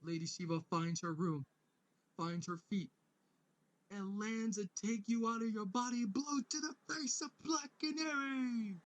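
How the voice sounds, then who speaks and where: A man voicing an excited fight announcer, dramatic play-by-play with emphatic bursts of volume. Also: sits high, measured pace, voice pushed up, rough recording.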